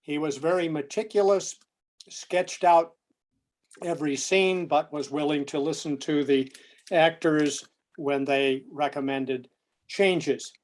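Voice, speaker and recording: A man presents a prepared talk in a conversational register. His voice is a little higher than most men's. He phrases things in a measured, deliberate way, as a lecturer would. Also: fairly even intonation, darkish tone.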